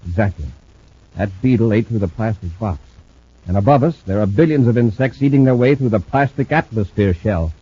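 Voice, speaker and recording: A man's voice: on the low side, brisk, dark tone, breathy edge. A man playing a decisive male space patrol commander in a 1950s radio drama, giving clipped orders. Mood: grave. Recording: dull old radio recording with faint hiss.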